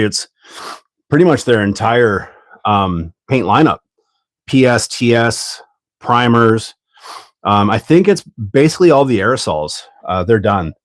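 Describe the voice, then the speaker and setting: A man from Canada hosting a hobby livestream, talking in a rambling, relaxed way full of ums while stuffed up with a cold. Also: medium pitch, dry recording. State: congested from a cold. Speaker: livestream host. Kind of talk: casual livestream chat while building.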